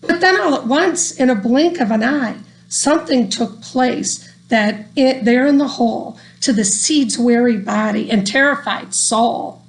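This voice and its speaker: A woman reading a rhyming children's story aloud in an expressive, deliberate storytelling manner. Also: a little high, rough recording. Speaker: storybook reader.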